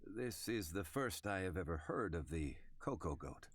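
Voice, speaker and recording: An actor playing a composed, erudite gentleman in a video game, speaking formally with measured, polished diction. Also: sits low, expressive, breathy edge. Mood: calm, courteous.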